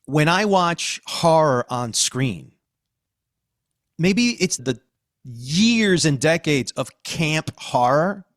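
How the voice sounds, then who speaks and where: Slow, animated man chatting on a podcast. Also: fairly high pitch, no echo, clean studio sound. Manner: informal, emphatic, slangy. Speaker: podcast host. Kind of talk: casual chat.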